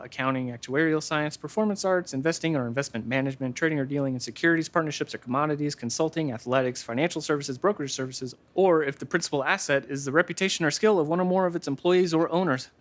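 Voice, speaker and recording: A man's voice: a little high, fast, hardly any pauses, close to an everyday voice. A man playing a precise know-it-all regular. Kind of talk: noir parody acting.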